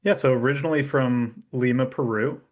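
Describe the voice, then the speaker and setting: American man, medium pitch, casual podcast guest. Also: a little fast, a little mellow. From Florida, USA. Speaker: podcast guest, startup founder. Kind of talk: casual interview talk.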